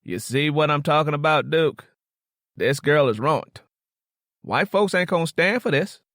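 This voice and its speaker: A man's voice: a little high, expressive, measured pace, a bit bright, dry recording, clean studio sound. A man voicing a blunt, worried old grandmother with an American Southern accent, scolding in an audiobook. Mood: scolding, alarmed. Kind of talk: audiobook character dialogue.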